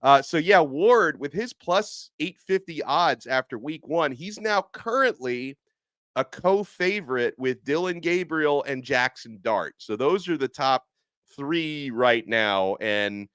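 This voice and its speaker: A man hosting a casual sports podcast, animated and excitable, his voice a little hoarse from yelling. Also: fairly high pitch, slow pace, dry recording. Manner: animated, excitable, with frequent fillers. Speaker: podcast host.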